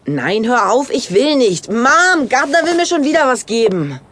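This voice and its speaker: A school-age boy in an audio drama, with a lively, swooping voice. He sounds put-upon, wry and sarcastic, with a reluctant whine. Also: mid-range voice, normal speed. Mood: annoyed, whiny.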